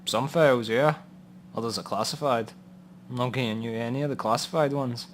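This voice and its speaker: A man's voice: medium pitch, brisk, close to an everyday voice. A matter-of-fact policeman played by a man in a noir crime radio play. He is dry and wry about grim business, with some noise and ambience behind his voice. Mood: guarded, matter-of-fact. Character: matter-of-fact, grimly wry male police records contact. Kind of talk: noir radio drama dialogue. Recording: audible background noise and ambience.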